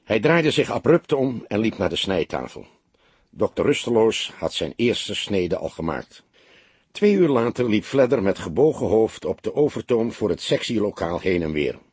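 A man narrating a crime novel as an audiobook, in expressive scripted storytelling. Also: lowish voice, moderate pace, breathy edge, dry recording.

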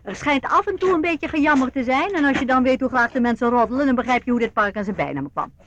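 An actress playing an elderly, eccentric aristocratic aunt in a radio play, grand, chatty and blithely macabre. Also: female voice, high-pitched, lively intonation, quick pace, a bit bright, dull audio. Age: older.

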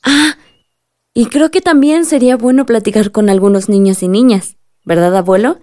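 An eager young village granddaughter played by a woman in a radio drama. Her voice sits a little high, with a Mexican accent. She speaks with open affection for her elders. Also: moderate pace, warm tone, natural voice. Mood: warm, grateful. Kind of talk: Spanish-language radio drama acting.

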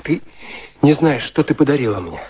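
A man acting in a radio drama, playing an ardent, awestruck young romantic who speaks in a halting, rapturous way. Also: mid-range voice, very animated, slightly rough. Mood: rapturous, tender. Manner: rapturous, halting, hushed wonder. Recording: radio play with music behind.

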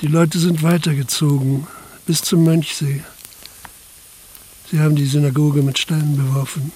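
An actor playing a sober, methodical police investigator in a radio drama, speaking formally and matter-of-factly. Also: male voice, fairly high pitch, darkish tone, slight background noise, natural voice, rough recording. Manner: formal, measured, matter-of-fact. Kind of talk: radio drama interrogation and narration.

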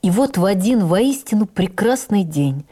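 A woman playing an actress who recalls her life in the theatre, narrating in the first person for radio drama in an expressive, measured, literary way. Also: mid-range voice, husky. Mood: earnest, reflective. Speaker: actress reading a stage memoir. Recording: radio broadcast with faint music.

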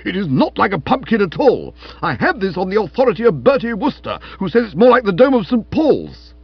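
A jealous, blustering young man booms out his grievances. His resonant voice is stiff and pompous, swinging widely in pitch, with a husky edge and slightly muffled recording. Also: huge pitch swings, moderate pace, no room sound, heavily acted. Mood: outraged. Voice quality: deep, resonant. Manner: stiff, crisp, indignant. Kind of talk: comic audiobook character acting.

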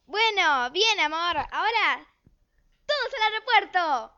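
A woman's voice: very high voice, lively intonation, slow pace, pushed and bright, exaggerated voice. A woman playing a harried, bossy mother in an amateur radio play, with an exasperated, nagging manner. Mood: businesslike. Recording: dull lo-fi home recording.

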